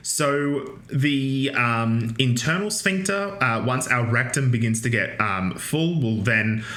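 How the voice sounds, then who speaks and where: A man lectures on anatomy with a bright, forward tone. He talks casually, trailing into fillers and restarts, and drops in joking asides. The sound carries the echo of a large room. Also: mid-range voice, lively intonation, slow. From Australia. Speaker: university lecturer.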